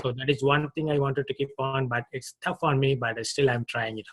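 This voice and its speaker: A man's voice: mid-range voice, steady tone, very noisy. Indian man speaking over a video call. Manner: halting, restarts phrases. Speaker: club guest. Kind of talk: short impromptu speech.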